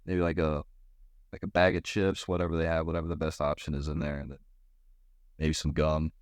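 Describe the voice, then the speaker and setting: Deep-voiced young man chatting casually. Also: level tone, fairly quick, smooth, no room sound, studio quality. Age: young adult. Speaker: baseball pitcher, podcast guest. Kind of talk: casual interview chat.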